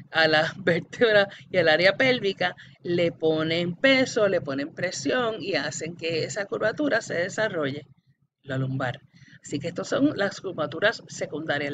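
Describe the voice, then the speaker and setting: A woman's voice: lowish voice, animated, moderate pace. Woman lecturing over dull, noisy audio. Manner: didactic, checks understanding often, addresses the student directly. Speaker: anatomy lecturer. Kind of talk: explanatory classroom-style lecture. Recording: dull lo-fi audio with background noise.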